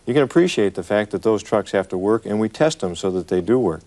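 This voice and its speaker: Low-voiced American man, engineer explaining. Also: lively intonation, fast talker, darkish tone, a little hiss or hum. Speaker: truck engineer. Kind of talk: panel discussion about product development.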